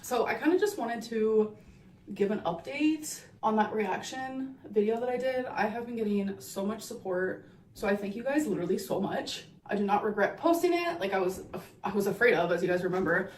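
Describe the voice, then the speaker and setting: A woman chats to her camera in a loose, gushing vlog manner, leaning on fillers like "like" and "um." Her pitch sits in an ordinary middle range. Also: animated, moderate pace, a little mellow, a little hiss or hum. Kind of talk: casual vlog talk to camera.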